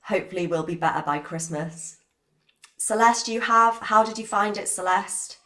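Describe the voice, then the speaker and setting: A woman, hoarse from a cold, giving a live-streamed biology revision lesson and chatting with viewers by name. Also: medium pitch, normal speed, pushed and bright. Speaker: biology teacher.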